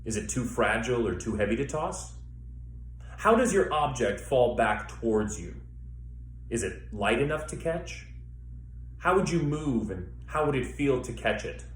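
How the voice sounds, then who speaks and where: A man working as a theater educator, guiding listeners through an exercise with measured, step-by-step instructions and pauses. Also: medium pitch, sing-song. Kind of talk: instructional demonstration, guided exercise. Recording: echoey room with faint background noise.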